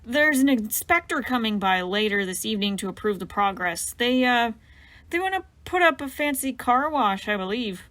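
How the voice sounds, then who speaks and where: A woman's voice: on the high side, lively intonation, normal speed, fairly bright tone, no room sound. A woman voicing a kindly, awkward man who runs a construction crew, talking in a hesitant, folksy way.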